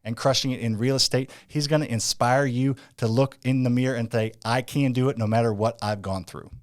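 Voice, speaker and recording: A man hosting an interview, talking in an energetic, hyped-up way. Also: medium pitch, brisk. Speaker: interview host. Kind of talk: enthusiastic interview chat.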